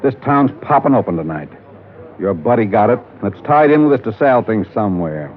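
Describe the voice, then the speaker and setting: Tinny old radio recording, American police lieutenant, lowish pitch, gruff manner, blunt, weary, slangy. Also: male voice, big pitch swings, a little fast. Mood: weary, confiding. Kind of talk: 1940s-50s radio crime drama acting.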